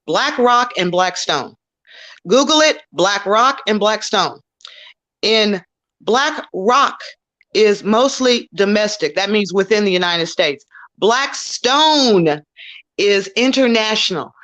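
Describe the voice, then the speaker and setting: A woman on an online panel, talking loudly and emphatically and repeating her key words. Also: medium pitch, expressive, takes it slowly. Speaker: panelist on legal topics.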